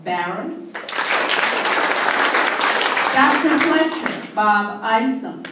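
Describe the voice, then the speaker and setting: A woman's voice: a little high, animated, extremely slow. A woman announcing a cast to a live audience at a stage show. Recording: echoey hall, distant mic, loud crowd noise.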